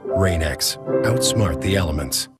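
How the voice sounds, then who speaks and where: A man reading a scripted commercial voice-over in a calm, measured way. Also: low-pitched. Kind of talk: scripted ad narration. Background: ad music.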